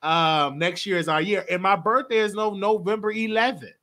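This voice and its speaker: A man's voice: high voice, moderate pace, bright, forceful tone. A man in his late twenties hosting a live call-in show, chatting casually and laughing often. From Texas. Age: 20s. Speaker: host.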